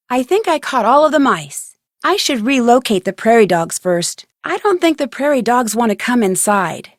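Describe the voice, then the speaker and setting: A woman's voice: fairly high pitch, no echo, clean studio sound. A woman voicing a plucky, curious young female detective in a video game, speaking earnestly and conversationally as if thinking aloud. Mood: musing. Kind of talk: scripted video game voice acting.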